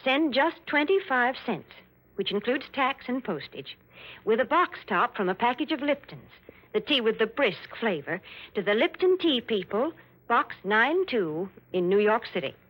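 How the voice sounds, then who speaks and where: 40s radio ad lady, highish voice, super lively swoops, chatty and persuasive. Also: moderate pace, rough recording. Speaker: sponsor spokeswoman. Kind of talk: 1940s radio advertising. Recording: vintage 1940s radio broadcast.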